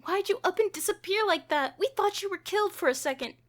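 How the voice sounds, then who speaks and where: Woman acting a panicked, high-pitched young woman, voice cracking. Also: animated, fast. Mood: scolding worry. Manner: cracking, pleading, urgent delivery. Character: panicked young female train attendant, grief-stricken and pleading.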